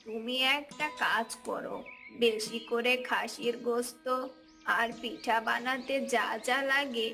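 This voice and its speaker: High-pitched village wife, acted by a woman. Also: fairly even intonation, takes it slowly, vocal fry, sharp tone, exaggerated voice. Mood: brisk. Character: village wife and mother, caring but bossy. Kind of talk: cartoon fairy-tale dialogue acting. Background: background music.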